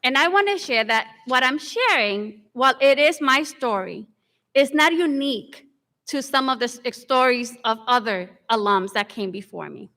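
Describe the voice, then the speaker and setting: A woman in her forties, from the Dominican Republic, giving an earnest convocation speech with measured, deliberate pauses. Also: high voice, animated, sharp tone. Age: 40s. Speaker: alumni speaker. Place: large hall. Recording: long hall reverb.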